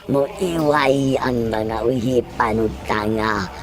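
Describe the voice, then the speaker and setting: A woman's voice: a bass voice, slow pace, a crowd in the background, full character voice. A woman with a Cebuano Filipino accent playing a sinister, seductive woman commanding her lover in a horror audio drama, with theatrical delivery. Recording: clear music bed behind the voice.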